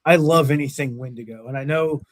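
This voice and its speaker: A man's voice: on the high side, brisk, no room sound, clean studio sound. A man hosting a casual interview show, talking loosely with many fillers and jokes about himself. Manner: loose, filler-heavy, self-deprecating joker. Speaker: podcast host.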